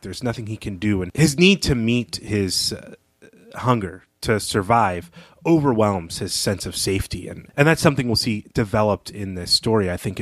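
A man's voice: lowish voice, no echo. A man co-hosting a podcast, giving conversational literary analysis in a thoughtful, explanatory way. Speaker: podcast co-host.

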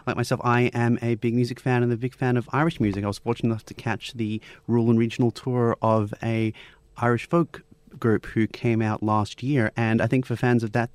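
A man's voice: mid-range voice, darkish tone, no echo. A man hosting a radio show, interviewing a guest and leading into the next topic with friendly questions. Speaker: radio host.

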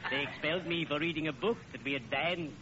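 An actor playing a friendly, formal undertaker with deadpan morbid humor in a 1940s radio comedy. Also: male voice, a little high, fast. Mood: genteel, morbidly cheerful. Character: friendly, ghoulishly genteel male undertaker. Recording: muffled, very noisy old radio broadcast.

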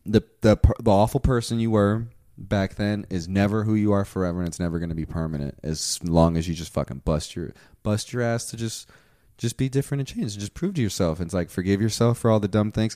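Low-voiced young man, rambling and profane. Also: quick pace, mellow, no room sound, studio quality. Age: 20s. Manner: rambling, profane, many fillers. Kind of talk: casual chat.

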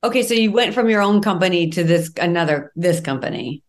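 A woman with a slightly low voice hosts an interview. She speaks casually and conversationally, as an interviewer chatting with a guest. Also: expressive, brisk. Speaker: interviewer, host.

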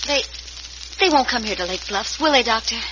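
Woman as an anxious young frontier woman, high voice. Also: animated, natural voice. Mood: worried. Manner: hesitant, halting. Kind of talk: old-time radio melodrama acting. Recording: noisy, muffled vintage radio recording.

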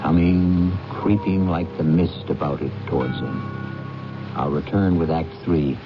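A man hosting and narrating a radio drama in a grave, deliberate, suspenseful manner. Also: rumbling low. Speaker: host and narrator. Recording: dull 1970s radio recording with music.

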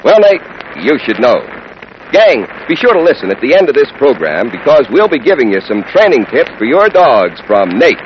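Lively male old-radio pitchman. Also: moderate pace. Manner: chummy, folksy storytelling. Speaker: sponsor announcer. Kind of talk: 1940s radio ad pitch to children. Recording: muffled hissy old radio recording.

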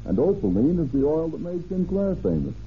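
A man's voice: fairly high pitch, very animated, a little fast. An actor playing a confident, eager gas station salesman with emphatic patter in a 1930s radio advertisement. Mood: confident selling. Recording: tinny, hissy old radio recording.

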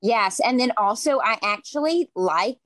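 A woman's voice: on the high side, lively intonation, a little slow, a bit bright. A young adult woman chatting casually as a podcast co-host. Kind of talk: casual interview chat.

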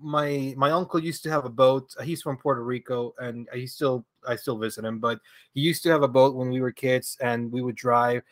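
A man's voice: mid-range voice, steady tone, normal speed, dry recording. A man from Venezuela, a podcast guest telling stories in a rambling, chatty way with frequent laughter and restarts. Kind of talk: casual conversational storytelling.

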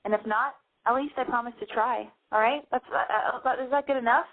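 A woman's voice: fairly high pitch, fairly quick. Woman with a lisp, tinny phone sound. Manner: rambling, self-deprecating, excitable. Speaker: radio show host. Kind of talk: casual call-in show monologue.

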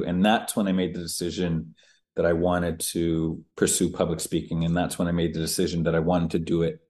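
A man's voice: low-pitched, darkish tone. A man in his thirties from the Northeastern United States, a public speaker talking candidly as a guest about his life in a casual interview. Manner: candid, conversational, with fillers.

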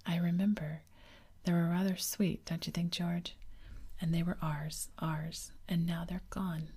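A woman whispering the lines of a gentle, loving young mother in a storybook reading. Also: on the low side, level tone, a little slow, slightly rough. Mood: tender.

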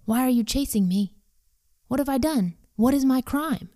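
A woman's voice: sits high, moderate pace, mellow, pitched above the performer's own voice, lightly acted. A woman voicing a bold young male fugitive warrior in a Bible story, earnest, declamatory and pleading. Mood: aggrieved pleading.

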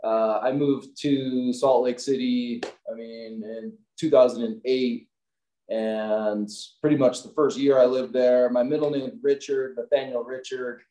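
A man's voice: mid-range voice, fairly even intonation, unhurried, warm tone. A man in his thirties from Wisconsin, a company owner chatting casually as an interview guest, rambling with many fillers. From Wisconsin, US Midwest. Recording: echoey room.

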